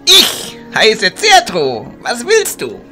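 A man's voice: high-pitched, wildly swooping pitch, slow, bright, forceful tone, soft background music, heavily acted. A man playing a spiteful, arrogant teenage boy wizard in a fantasy audio drama, mocking and contemptuous.

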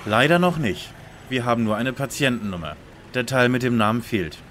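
A man's voice: medium pitch, animated, moderate pace, a bit bright, a little hiss or hum, natural voice. A man playing a self-assured young lead detective in a parody audio drama, dry, precise and commanding. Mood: dry, composed.

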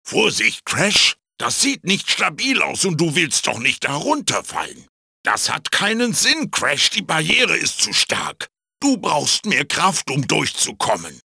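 A magical talking mask, the wise but fussy mentor of a cartoonish video game. The voice is bright and gravelly, swinging very widely in pitch. Every phrase is theatrical and emphatic, recorded close and dry. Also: male voice, huge pitch swings, a little slow, dry recording, heavily acted. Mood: urgent. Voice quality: raspy, gravelly. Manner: theatrical, emphatic, swooping delivery. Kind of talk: German video game voice acting, tutorial hints and quips.

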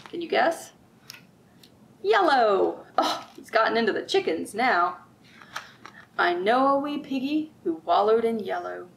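A middle-aged woman reading aloud at a children's storytime, expressive and sing-song, drawing out rhymes and putting questions to young listeners. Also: mid-range voice, vocal fry, a little hiss or hum, rough recording. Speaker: children's librarian, storytime host. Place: library room with bookshelves, indoors.

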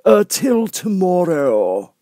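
A man voicing a dim, stubborn frog footman from a storybook, with a hesitant, drawn-out, vague delivery. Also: high voice, sing-song, dark tone, dry recording, clean studio sound, voice pushed up, exaggerated voice. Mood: vague, unhurried.

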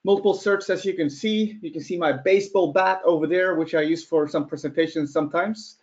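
A man's voice: fairly high pitch, a little fast, darkish tone. A man in his thirties from Norway giving a casual webinar talk with a slightly American-sounding accent, chatty, tossing out rhetorical questions and laughing at his own points. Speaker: webcast presenter. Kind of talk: casual live webinar presentation. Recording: dull lo-fi webinar audio.

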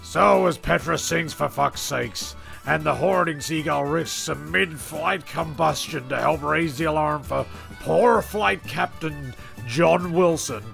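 Guy playing a rambling exasperated narrator for a comedy serial, really gravelly, bright voice, music under it. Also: a little high, heavily acted. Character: flustered, exasperated male narrator of a comic castaway serial. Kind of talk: improvised comic audio-drama narration.

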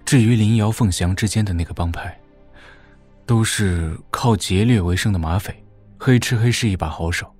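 Audio drama role, male actor: crafty, servile warlord, lowish voice, folksy explanatory delivery to a superior, faint music bed. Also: moderate pace, darkish tone, lightly acted. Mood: shrewd, informative. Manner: deferential, colloquial, explanatory. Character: obsequious, shrewd male warlord reporting to his overlord.

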